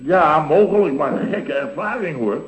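A man's voice: fairly high pitch, expressive, normal speed, soft background music, lightly acted. An actor playing a chatty, bemused company boss in a vintage Dutch radio comedy, hesitating and repeating himself as he rambles. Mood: bewildered storytelling. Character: male boss of a contracting firm, chatty, bemused houseguest. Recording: dull, boxy vintage radio recording, roomy.

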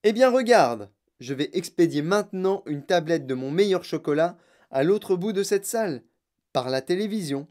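A narrator voicing an excitable, bossy, eccentric male chocolate factory owner in a children's audiobook, with exclamatory, theatrical commands. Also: medium pitch, normal speed, lightly acted. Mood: excited, grandiose. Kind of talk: children's audiobook character acting.

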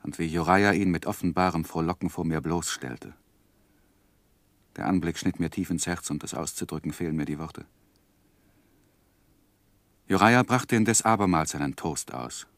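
Low-voiced, earnest gentleman narrator. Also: no room sound. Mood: pained narration. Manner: grave, measured, literary. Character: earnest gentleman narrating his own life story. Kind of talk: 1960s radio drama narration.

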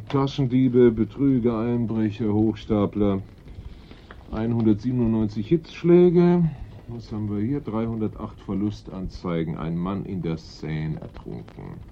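An actor playing a chatty, wry police assistant with a theatrical delivery in a German radio play. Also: male voice, lowish voice, big pitch swings, unhurried. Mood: wry, reporting. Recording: dull, noisy old radio recording.

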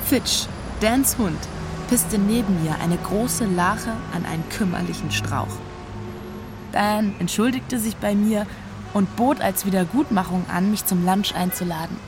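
Woman acting composed narrator telling her story in a radio play, medium pitch, music playing behind. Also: unhurried, natural voice. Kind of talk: literary radio drama narration.

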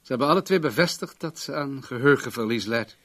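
An actor playing a wealthy, controlling businessman in a radio play, formal, guarded and self-assured in a measured way. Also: mid-range voice, animated, fairly bright tone. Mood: matter-of-fact.

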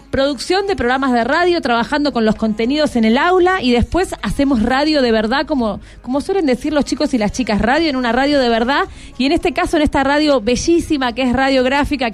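A woman with a Buenos Aires accent presenting and interviewing live on radio, conversational and often ending on tag questions. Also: sits high. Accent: Buenos Aires Argentine. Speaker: radio host. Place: radio studio. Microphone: studio microphone.

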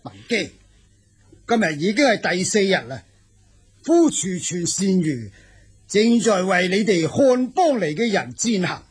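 An actor playing a proud, indignant Xiongnu king in a radio drama, with a husky, rough voice and an emphatic, halting delivery. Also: high-pitched, animated, heavily acted. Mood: grave, reluctant.

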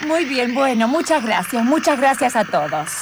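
Casting: woman playing showy Argentine talk-show mediator, very high voice, big swooping delivery, radio comedy. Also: big pitch swings, moderate pace, a loud crowd, very noisy. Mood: showy, welcoming. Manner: emphatic, showy, repeats phrases. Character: theatrical, sensational talk-show hostess and mediator. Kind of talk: improvised comic radio play.

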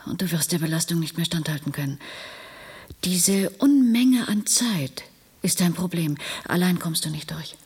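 A woman's voice: lowish voice, lively intonation, normal speed, a little mellow, husky, exaggerated voice. An actress playing a concerned, earnest young woman doctor in a German radio drama, pleading urgently.

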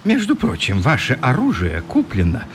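A man's voice: mid-range voice, wildly swooping pitch, normal speed, slight background noise. A man acting in a radio play as a pompous, irritable housing-office bureaucrat, fussy, officious and exclamatory. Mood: peevish.

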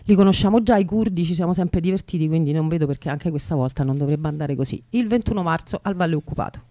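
A woman's voice: low-pitched, fast, mellow, no room sound. A woman co-hosting a radio show, announcing events in an informal, colloquial, chatty way.

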